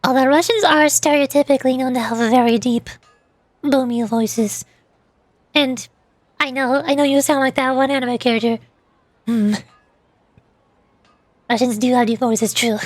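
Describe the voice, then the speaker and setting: High-voiced woman streamer thinking aloud. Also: steady tone, normal speed, pauses often, no echo. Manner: hesitant, thinking aloud, stammers and repeats words. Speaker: streamer, VTuber. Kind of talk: casual livestream chat with viewers. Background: background music.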